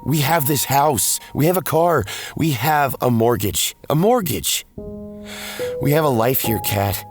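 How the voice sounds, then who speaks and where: A man's voice: medium pitch, animated, unhurried. A man playing a weary, defensive former hero pulled back into duty in an audio drama, with music behind him. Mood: frustrated, pleading. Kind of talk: audio drama acting with first-person narration.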